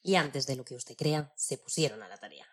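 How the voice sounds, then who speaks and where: A woman narrating a children's story in an expressive storytelling style. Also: extremely low pitch, a little fast, breathy edge.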